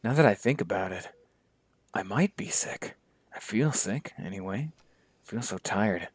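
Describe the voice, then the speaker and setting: A man's voice: fairly low pitch, lively intonation, normal speed, no room sound. Man playing a dazed, wry young medic. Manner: wry inner monologue, drifts into hushed whispers. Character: dazed, sardonic young male medic waking up. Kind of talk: one-man voice acting.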